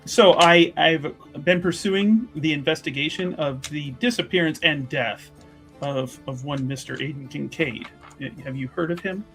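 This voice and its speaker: Roleplaying game investigator, a polite woman, played by a man, formal and ingratiating, voice a little high for a man. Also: normal speed, a bit bright, faint music behind. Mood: probing. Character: polite, diplomatic female investigator, courteous and careful.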